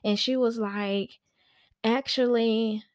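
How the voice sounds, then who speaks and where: A woman telling a personal story on a podcast in a chatty, animated, slangy way, acting out conversations as she goes. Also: fairly high pitch, takes it slowly, no echo, studio quality. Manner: informal slangy speech. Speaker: podcast host and nanny.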